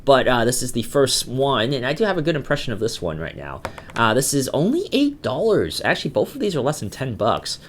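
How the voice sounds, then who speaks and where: A man's voice: mid-range voice, huge pitch swings, fairly quick, a little hiss or hum. A man with a cold talking casually as the host of a tech review vlog, chatty and loose with lots of fillers and restarts. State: has a cold, voice sounds a bit off.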